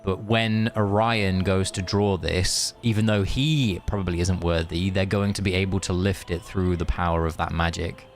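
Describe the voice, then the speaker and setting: A man doing casual live game-stream commentary, joking, swearing and haltingly reading story text aloud. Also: deep voice, fairly even intonation.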